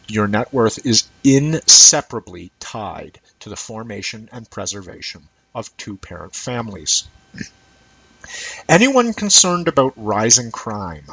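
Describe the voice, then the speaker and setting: A man's voice: medium pitch, fairly bright tone, husky, no room sound, poor audio. A man reading an essay aloud as scripted narration. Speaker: reader of an essay.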